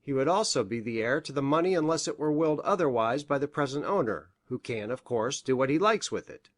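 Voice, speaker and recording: Woman putting on a low-voiced sober country doctor. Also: lively intonation, a little fast, no room sound. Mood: matter-of-fact.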